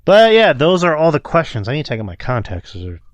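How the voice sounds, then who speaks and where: A man's voice: a little high, huge pitch swings, fast, lo-fi sound. A man co-hosting a podcast Q&A in easygoing chat, joking and rambling with many fillers. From Texas. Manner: joking, loose, full of fillers. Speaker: podcast co-host.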